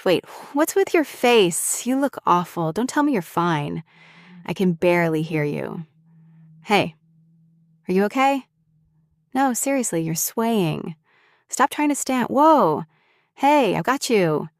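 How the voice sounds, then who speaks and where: A woman voicing a sharp-tongued young woman, a bully who scolds and worries over someone who has fainted. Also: medium pitch, animated, takes it slowly, pauses often, no echo, studio quality. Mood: alarmed. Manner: scolding and blunt, then anxious and tender. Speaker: voice actor in an audio roleplay. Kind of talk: scripted audio roleplay.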